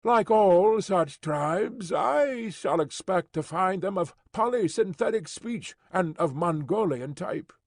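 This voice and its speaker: A man voicing a bitter, skeptical male professor with a stiff, sour manner and a husky voice. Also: high-pitched, animated, slow, few pauses, no echo, studio quality, pitched above the performer's own voice, exaggerated voice.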